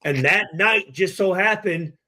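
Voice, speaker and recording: A middle-aged man with a fairly high-pitched voice tells a story in casual, profane language. He halts and repeats phrases as he goes, acting out what was said in the exchanges. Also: sharp tone. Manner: halting, repetitive, acts out exchanges. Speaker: podcast guest telling a story. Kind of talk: animated casual storytelling, heavy swearing.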